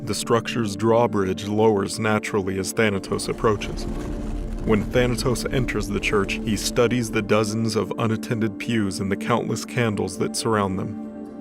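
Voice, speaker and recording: Low-voiced man narrating over music. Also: fairly even intonation, moderate pace. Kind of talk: scripted dramatic story narration.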